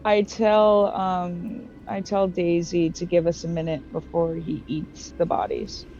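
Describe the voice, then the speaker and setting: A woman's voice: lowish voice, flat delivery, unhurried, warm tone. A woman talking casually as a player in a tabletop roleplaying game. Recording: slightly muffled, faint background noise.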